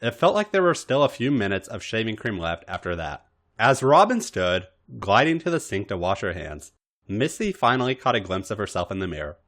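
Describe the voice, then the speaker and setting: A man narrates a written story for a podcast in a lowish voice. His reading is expressive, with pitch that rises and falls a great deal. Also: brisk.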